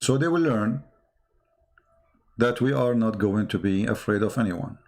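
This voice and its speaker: Gravelly man with an Arabic accent. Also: on the low side, a little mellow. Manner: blunt, combative, halting non-native English. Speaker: livestream host, religious polemicist. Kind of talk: casual livestream monologue answering chat comments.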